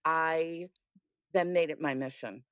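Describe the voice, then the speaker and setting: Raspy woman, candid podcast guest, bold emphatic delivery, dry mic. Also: deep voice, takes it slowly, dry recording. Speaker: guest, music booker. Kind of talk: podcast conversation.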